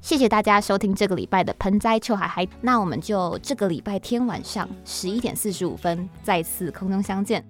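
A woman's voice: mid-range voice, brisk, few pauses. A woman with a Taiwanese accent hosting a podcast in casual solo talk, chatty, informal and a little self-deprecating. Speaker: podcast host. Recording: music playing under the voice. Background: background music.

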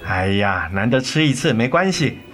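一位男演员在广播剧中饰演温和、宠爱女儿的爸爸。. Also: male voice, mid-range voice, big pitch swings, moderate pace, fairly bright tone, faint music behind. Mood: cheerful, soothing. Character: gentle, indulgent father of two girls. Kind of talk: radio drama acting.

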